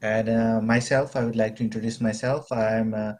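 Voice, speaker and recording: A man's voice: lowish voice, flat delivery, moderate pace. A man from Nepal, asking a rambling question in a webinar, full of fillers and "you know".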